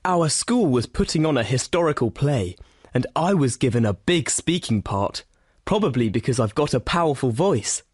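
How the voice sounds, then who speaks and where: A man reading a steady, enthusiastic scripted monologue for a listening exam. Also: mid-range voice, normal speed, breathy edge, no room sound, clean studio sound. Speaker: exam recording speaker.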